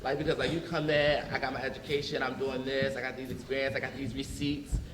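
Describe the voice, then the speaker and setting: A man from North Philadelphia speaking as an educator on a panel discussion, talking in an animated way with many fillers. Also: mid-range voice, fast talker, reverberant, crowd noise. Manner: animated, frequent fillers like "like" and "you know what I mean". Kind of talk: panel discussion, casual conversational. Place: large room with audience.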